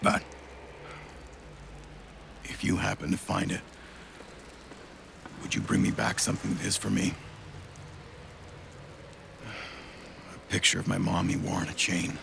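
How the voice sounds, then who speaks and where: An actor playing a bitter, world-weary survivor, cynical and foul-mouthed, in a video game cutscene. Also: male voice, flat delivery, exaggerated voice. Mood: regretful. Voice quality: very gravelly, fried. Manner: cynical, profane, halting. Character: bitter, world-weary male outrider's son, debt-ridden survivor. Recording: clear background rumble and ambience.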